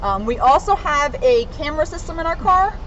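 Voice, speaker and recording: Woman officer, informal kids' read-aloud and demo presenter, higher-pitched voice, slightly muffled recording with faint noise. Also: expressive, brisk, a bit bright. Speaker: police officer reading to children and showing police equipment. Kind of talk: story read-aloud and informal explainer for children. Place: in and around a police car. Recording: muffled, low-grade audio with faint noise.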